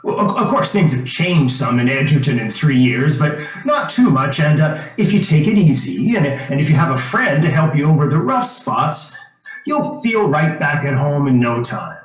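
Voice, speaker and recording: A man as high-voiced, wheedling small-town ex-police chief. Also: big pitch swings, moderate pace. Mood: persuasive. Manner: hesitant, stammering, folksy, coaxing. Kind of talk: old-time radio drama acting. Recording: roomy echo, music underneath.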